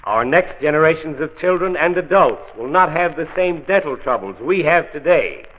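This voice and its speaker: A man playing an earnest county health commissioner who narrates a 1940s radio drama in a measured, folksy manner. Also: fairly high pitch, expressive. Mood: persuasive. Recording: tinny old radio transcription.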